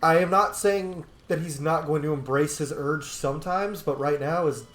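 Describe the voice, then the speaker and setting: Higher-voiced man doing roomy casual game commentary. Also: moderate pace, a bit bright. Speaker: gaming video host. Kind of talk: casual game playthrough commentary with role-play. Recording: roomy sound with faint game music. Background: game music.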